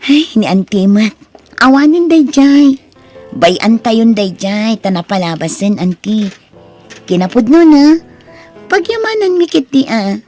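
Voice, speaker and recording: A woman with an Ilocano Filipino accent playing a gracious, forgiving young niece in an audio drama, speaking gently and earnestly. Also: a little high, expressive, measured pace, pauses often, soft background music.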